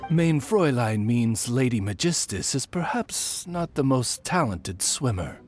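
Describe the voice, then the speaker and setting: A man voicing a wry, formal, courtly male familiar in a video game, deliberate in manner. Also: medium pitch, lively intonation, soft background music, lightly acted. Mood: dry, formal.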